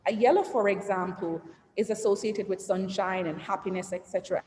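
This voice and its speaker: Woman CEO leading an online branding session, chatty and interactive, middle-pitched, echoing room with long reverb. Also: steady tone, fairly quick, darkish tone. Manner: conversational, asks the audience and reads the chat aloud, trails lists with "et cetera". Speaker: company CEO giving a branding presentation. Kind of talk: interactive online presentation to entrepreneurs. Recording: roomy reverberant sound with faint noise.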